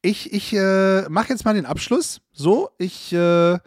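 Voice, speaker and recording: A man's voice: high voice, expressive, slow, no echo, studio quality. A man interviewing the host, teasing him and hesitating with fillers. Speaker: guest interviewer. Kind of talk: casual conversation.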